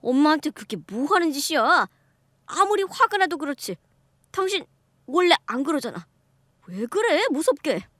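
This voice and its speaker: A woman's voice: high voice, very animated, moderate pace, fairly bright tone, no room sound, studio quality, pitched above the performer's own voice. A woman voicing an evasive young husband who pleads and makes excuses. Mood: pleading.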